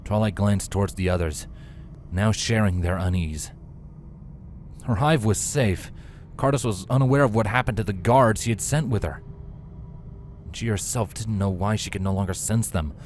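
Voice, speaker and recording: A man's voice: lowish voice, moderate pace, long pauses, a little mellow, slight background noise. A man reading a scripted fantasy story aloud as a dramatic narrator. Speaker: fan fiction narrator.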